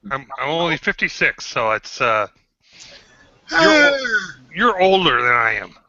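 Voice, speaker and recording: Halting man in his fifties. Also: fairly high pitch, wildly swooping pitch, bright, forceful tone, no echo, muffled recording. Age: 50s. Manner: halting, many pauses and restarts. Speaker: guest on a panel. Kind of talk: casual group chat.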